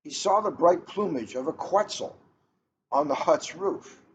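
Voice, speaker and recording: A middle-aged man with unhurried, deliberate phrasing, chatting casually and reading a storybook aloud. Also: fairly high pitch, expressive. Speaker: police officer reading a picture book aloud. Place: coffee shop, indoors. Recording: muffled, noisy room recording.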